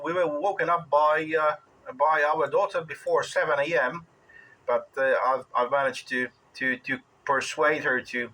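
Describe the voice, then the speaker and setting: A man in his forties with a Polish accent, chatting casually as a ham radio operator in a halting way, often repeating words. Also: mid-range voice, pushed and bright, slight background noise, rough recording. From Poland. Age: 40s. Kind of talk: casual radio contact chat.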